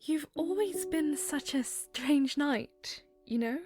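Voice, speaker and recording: A woman voicing a curious, gentle young princess in a fan audio drama, speaking softly and hesitantly. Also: high-pitched, slow pace, over music. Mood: wistful.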